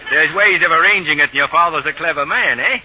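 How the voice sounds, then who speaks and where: A man's voice: a little high, fast talker, bright, forceful tone. An actor playing a sly, suspicious village man with a needling, oily manner, in 1940s radio style. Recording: dull, noisy old radio recording.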